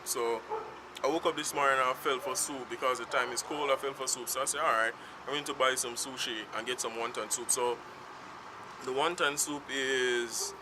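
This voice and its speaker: A man with a Jamaican accent, whose first language is Patois, chatting unscripted to camera outdoors in a rambling, free-flowing way. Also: medium pitch. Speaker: vlogger. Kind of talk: casual talk to camera. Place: outdoors in town, in the sun. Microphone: self-filmed camera. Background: faint street traffic.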